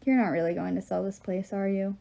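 A woman's voice: medium pitch, steady tone, brisk, gravelly, mellow, natural voice. A woman voicing an earnest teenage girl in an audiobook, defiant and emotional, pleading and challenging as she speaks. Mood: questioning. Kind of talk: audiobook character voice.

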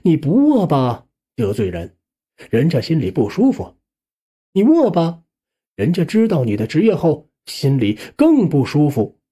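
A man's voice: on the high side, wildly swooping pitch, a little slow, dark tone, breathy edge. A man narrating a first-person suspense audiobook in a colloquial storytelling manner.

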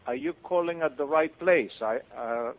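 Restaurant guy on a muffled lo-fi call, bit high voice, politely repeats himself. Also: moderate pace. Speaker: pizza restaurant worker taking a call. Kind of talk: phone conversation. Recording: dull lo-fi phone line.

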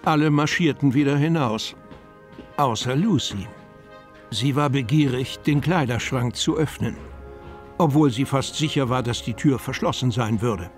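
Male narrator, played by an actor, mid-pitched voice, storybook radio play, music underneath. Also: a little slow, natural voice. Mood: calm storytelling. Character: male storyteller narrating a children's fantasy tale.

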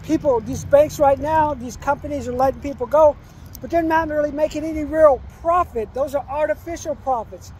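High-voiced man in his fifties preaching. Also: moderate pace, darkish tone, slight background noise. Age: 50s. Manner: preachy, repeats himself, urgent advice. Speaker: vlogger. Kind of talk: walking selfie vlog. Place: outdoors by a commercial complex, trees and sky. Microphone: phone held at arm's length while walking.

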